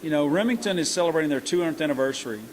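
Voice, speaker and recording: A middle-aged man talks in a fairly high voice. He answers interview questions earnestly and haltingly, starting over often as he works out what he wants to say. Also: animated. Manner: earnest, halting with many restarts. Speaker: country singer and hunting show host.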